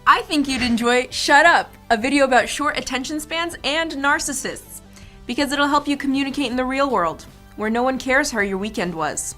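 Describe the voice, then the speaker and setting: A woman's voice: sits high, normal speed, sharp tone, soft background music. A woman hosting an online video show, presenting scripted commentary with wry, playful asides. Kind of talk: scripted, jokey presenting about animation videos.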